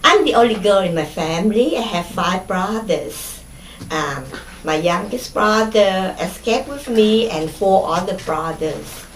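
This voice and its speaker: A middle-aged woman from Vietnam speaks English with a Vietnamese accent, recalling her early life for an oral history interview. She talks slowly, stopping often for fillers and restarts. The room is echoey, with faint ambience behind her. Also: mid-range voice, lively intonation, slight background noise. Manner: hesitant, many fillers and restarts.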